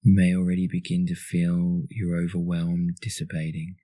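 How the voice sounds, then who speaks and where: Male narrator for guided meditation. Deep, soft dark timbre, calm and soothing delivery. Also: normal speed, no room sound.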